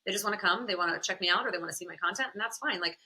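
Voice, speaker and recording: A woman guest on a podcast, chatting candidly with a smoky voice, animated and quick to laugh. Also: mid-range voice. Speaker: podcast guest, content creator and confidence coach. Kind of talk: candid conversational interview.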